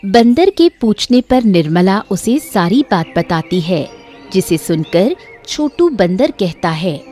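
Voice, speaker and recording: A woman's voice: medium pitch, big pitch swings, takes it slowly, dark tone, soft background music. A woman narrator reading a scripted moral story for children.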